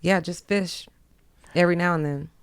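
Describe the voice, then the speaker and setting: A woman's voice: fairly low pitch, steady tone, normal speed, smooth. A woman chatting casually as a podcast guest, blunt and teasing. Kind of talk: casual chat.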